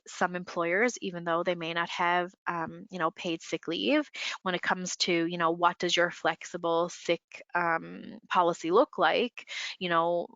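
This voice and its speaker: Bright-voiced woman, congested, muffled call. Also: on the low side, dry recording. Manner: filler-heavy, conversational, many "you know"s. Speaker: employment lawyer panelist. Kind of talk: webinar discussion, advice. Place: home, remote call.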